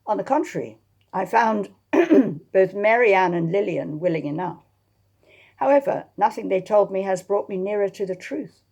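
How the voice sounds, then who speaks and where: Woman playing a proud, defiant genteel lady, husky. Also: mid-range voice, lively intonation, normal speed, darkish tone. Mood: cool, reluctant. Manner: crisply formal, defiant. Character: proud, exasperated young-spirited genteel lady sleuth. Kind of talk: dramatised historical novel reading.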